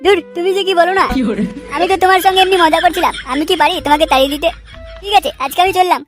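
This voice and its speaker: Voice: very high voice, steady tone, very fast, music in the background. A performer voicing a hot-headed, lazy troublemaker in a Bengali comedy cartoon, crude and blustering. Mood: placating.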